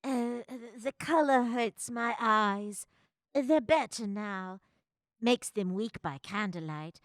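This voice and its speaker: A woman playing a gentle, careworn mother in a radio-style drama. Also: a little high, slow, no room sound. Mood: tender, subdued.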